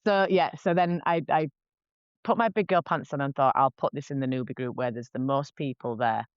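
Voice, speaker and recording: A woman's voice: extremely low pitch, a little fast, clean tone, no echo. A woman, talking candidly as a podcast guest, chatty and frank with lots of restarts. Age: 40s. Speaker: podcast guest, face painter. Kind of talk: candid casual conversation. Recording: dull, dry lo-fi podcast audio.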